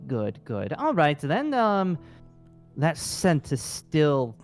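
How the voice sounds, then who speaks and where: A man acts out a haughty wizard who runs the room with formal, theatrical flourishes. His pitch swoops around as he turns sarcastic or courtly. It is improvised fantasy game roleplay, with faint music underneath. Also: wildly swooping pitch, slow pace, exaggerated voice. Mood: businesslike.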